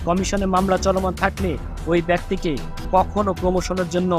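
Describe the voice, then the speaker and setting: A Bangladeshi man narrates a scripted investigative report in a high-pitched voice. Music plays clearly behind him as he reads in a reporter's style. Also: completely flat delivery, normal speed. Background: background music.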